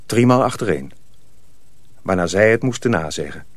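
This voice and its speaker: A man's voice: fairly low pitch, animated, normal speed, no room sound. A man narrating a radio drama as a storyteller, reading scripted prose dramatically.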